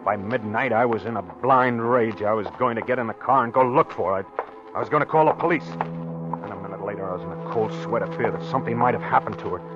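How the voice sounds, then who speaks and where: An American actor playing a jealous, tormented newlywed husband in 1940s radio drama, intense and confessional, quick to anger. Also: male voice, on the low side, close to an everyday voice. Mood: brooding, tormented. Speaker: actor and first-person narrator. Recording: dull 1940s radio recording, music behind.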